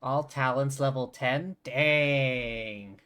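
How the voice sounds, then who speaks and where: A woman's voice: rumbling low, extremely slow, a bit bright, clean tone. A woman streaming a game, reacting casually to the story in an offhand, conversational way. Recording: game music behind the voice.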